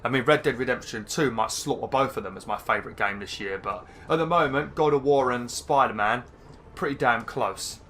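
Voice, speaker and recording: A man hosting a let's-play, slightly hoarse and chatty as he rambles through game menus. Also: medium pitch, a little fast, pushed and bright, a little hiss or hum. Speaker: gaming channel host. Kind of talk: casual let's-play commentary.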